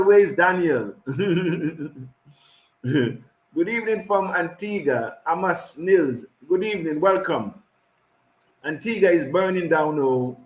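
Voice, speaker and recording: Echoey man reading out greetings. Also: a little high. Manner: reads names haltingly with frequent laughs. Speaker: host of an online community call.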